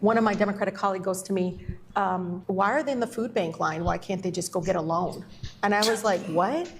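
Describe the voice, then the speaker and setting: A woman's voice: medium pitch, a little fast, background noise. A woman legislator in an impassioned political discussion, often restarting her sentences. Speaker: state legislator guest.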